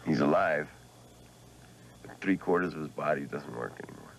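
An actor playing a gruff, weary, brooding stunt driver in a TV movie drama. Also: male voice, very deep voice, normal speed, full character voice. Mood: weary, subdued. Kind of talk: 1990s TV movie drama acting.

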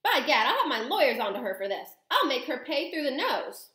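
A woman performs an outraged English gentleman and father from a children's storybook. He blusters and splutters indignantly, his pitch high and swooping. The room rings a little around him. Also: big pitch swings, fairly quick, echoey room. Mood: furious.

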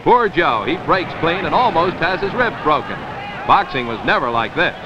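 A man's voice: fairly high pitch, very animated, moderate pace, a crowd in the background. An American man reading scripted 1950s sports newsreel commentary in a wry, wisecracking way. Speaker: newsreel narrator. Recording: dull, noisy old newsreel film sound.

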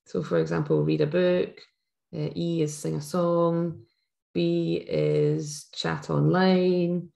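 A woman's voice: lowish voice, level tone, slow pace, creaky, warm tone. Scottish woman leading a teaching webinar. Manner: friendly, explanatory, with frequent fillers. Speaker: teacher trainer presenting a webinar. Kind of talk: live webinar talk. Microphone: webcam video call.

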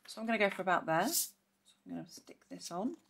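Croaky-voiced woman teaching a craft. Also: medium pitch, sing-song, fast, a bit bright. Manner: chatty, clears throat often. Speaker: papercraft demonstrator. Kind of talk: casual step-by-step craft tutorial.

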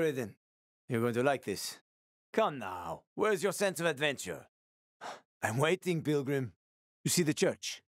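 A man's voice: mid-range voice, no room sound, studio quality, lightly acted. An actor playing a roguish, wisecracking male survivor in a video game, talking in a casual, teasing, sweary way.

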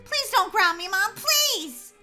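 A woman's voice: high voice, expressive, moderate pace. A woman voicing a whiny, dramatic schoolgirl who pleads and exclaims in an acted family story. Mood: pleading, upset.

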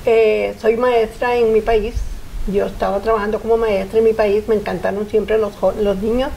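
Older woman, warm mellow voice. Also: medium pitch, level tone, gravelly, slight background noise, rough recording. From Honduras. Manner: earnest, reflective, speaks in short halting phrases. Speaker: interview guest, foundation founder. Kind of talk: personal interview. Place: TV studio set.

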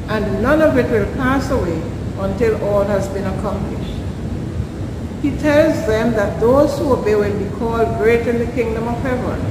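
An older woman, an Anglican priest from Saint Vincent and the Grenadines, gives a measured, earnest scripture reading and Lenten reflection, recorded from a distance at a church altar. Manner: measured, earnest reading, dropping low at verse endings. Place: large church interior, at the altar. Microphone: camera set back from the speaker. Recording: echoey church from a distance, background noise.

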